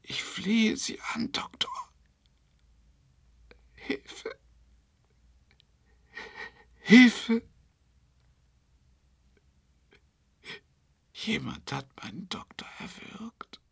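An actor playing an unhinged psychiatric patient in a radio play monologue, theatrical and rambling, with a husky voice. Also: male voice, high-pitched, huge pitch swings, extremely slow, long pauses, dry recording, in a whisper, exaggerated voice. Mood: panicked, whispering. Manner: theatrical, rambling, swinging from musing to agitated. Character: unhinged male psychiatric patient confessing to his doctor.

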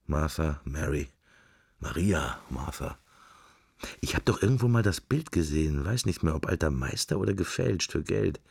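Deep, gravelly, brooding daydreamer. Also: male voice, lively intonation, moderate pace, darkish tone, no echo, heavily acted. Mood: musing. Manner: musing, fragmentary, murmured. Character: brooding middle-aged married man, furtive, sensual, drifting thoughts. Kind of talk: radio drama interior monologue.

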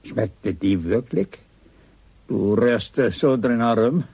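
An actor playing a stubborn, concerned older father in a radio drama, with a homely Low German accent. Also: lively intonation, slow pace, mellow, breathy edge, dry recording, heavily acted. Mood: homely, probing. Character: concerned, stubborn old father of a grown daughter.

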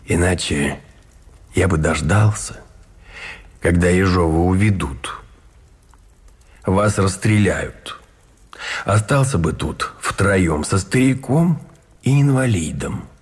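An actor playing a cold, calculating imprisoned army colonel in a Russian radio drama, measured, formal and conspiratorial, with a husky voice. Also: male voice, deep voice, animated, heavily acted. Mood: insistent, calculating. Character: cold, calculating army colonel, a prisoner plotting murder for duty. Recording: studio radio play with faint music behind.

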